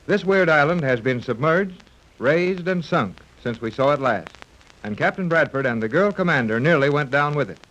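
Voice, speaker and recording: A man's voice: fairly high pitch, lively intonation, moderate pace, fairly bright tone, rough recording. A man narrating a 1930s radio adventure serial from a script in period announcer style.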